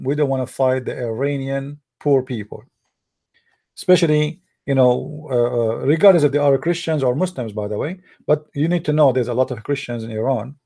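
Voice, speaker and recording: A man whose first language is Arabic, giving a heated casual monologue, often pausing and searching for words. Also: medium pitch, vocal fry, warm tone. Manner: halting, searching for words, many fillers; heated, blunt opinions. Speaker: commentator.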